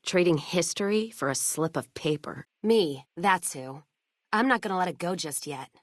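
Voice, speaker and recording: A woman playing a hard-drinking, sharp-tongued American caravan owner in a post-apocalyptic western game, with blunt, sardonic, folksy profanity. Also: on the low side, animated, normal speed, dry recording, studio quality. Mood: weary, resigned. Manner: blunt, sardonic, profane frontier drawl with folksy turns of phrase. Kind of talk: video game character dialogue.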